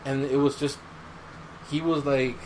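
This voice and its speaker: Male podcast host, mid-pitched, relaxed chat style with storytelling, slight noise behind the voice. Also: measured pace, low-quality recording. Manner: conversational, recounting stories, says dude. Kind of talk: casual chat. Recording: clear background noise.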